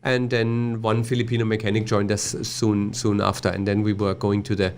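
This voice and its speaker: German-accented man, low voice, halting. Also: monotone, darkish tone, a little hiss or hum. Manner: halting, frequent restarts and fillers. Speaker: podcast guest, bike shop owner. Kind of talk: casual interview chat.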